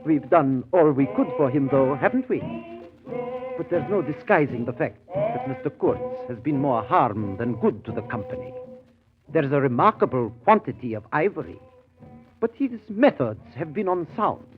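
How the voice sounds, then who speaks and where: Tinny, high-pitched officious company manager. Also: male voice, very animated, moderate pace. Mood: smoothly disapproving. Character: officious, smoothly insinuating colonial company station manager. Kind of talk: 1930s radio acting. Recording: tinny 1930s radio broadcast, music behind.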